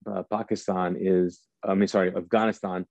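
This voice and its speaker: A man in his forties, from Kuwait, gives a personal, reflective talk to his audience in a halting, thoughtful way, searching for his words. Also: sits low, level tone, a little mellow, no room sound, lo-fi sound. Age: 40s. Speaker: speaker addressing an audience.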